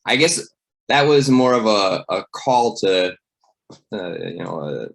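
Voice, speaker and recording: A man speaking casually as a panel guest, halting and self-deprecating. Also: lowish voice, animated, vocal fry. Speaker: panel guest, university instructor. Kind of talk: casual self-introduction and chat.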